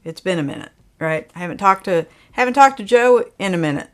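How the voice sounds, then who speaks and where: A woman's voice: medium pitch, animated, a little fast. An older woman, hosting a livestream and chatting casually in a folksy, rambling way as she reads out viewer comments. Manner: folksy, rambling, with frequent fillers. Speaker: livestream host.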